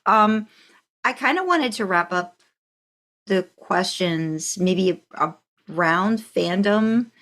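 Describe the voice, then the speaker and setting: A woman's voice: mid-range voice, expressive, slow, a bit bright. A woman hosting a casual interview, phrasing her questions in a tentative, meandering way. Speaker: podcast host, interviewer.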